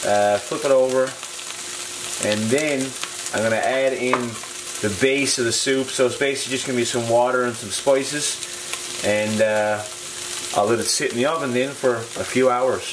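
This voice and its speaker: A man's voice: medium pitch, steady tone, moderate pace. A young man, talking casually through his home cooking in a laid-back, folksy way. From Newfoundland and Labrador, Canada. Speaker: home cook presenting a video. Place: small home kitchen, indoors. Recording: roomy kitchen echo, clear sizzling noise.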